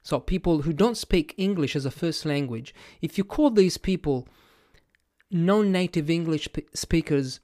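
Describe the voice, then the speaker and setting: A man's voice: on the high side, unhurried, darkish tone, dry recording, studio quality. A man with an Italian accent, a podcast host thinking aloud to his audience, hesitant, with many fillers and restarts. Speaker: podcast host and language educator. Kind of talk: casual reflective monologue to camera.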